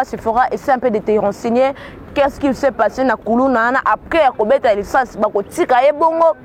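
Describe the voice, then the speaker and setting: High-voiced Congolese woman commenting. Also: a little hiss or hum. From Kinshasa, DR Congo. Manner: earnest, repeats words when searching for them. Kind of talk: spoken commentary mixing Lingala and French.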